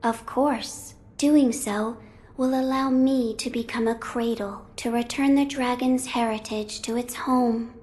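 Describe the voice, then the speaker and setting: A woman playing a gentle young holy maiden in a video game, speaking in a formal, measured and delicate way. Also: a little high, steady tone, natural voice. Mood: serene, solemn. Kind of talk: video game cutscene acting.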